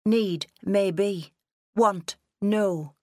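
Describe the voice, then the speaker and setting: A woman's voice: medium pitch, very slow, mellow, voice pushed up. A woman voicing a rebellious nineteen-year-old farm daughter in an audiobook, snappy and resentful. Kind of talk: audiobook character dialogue.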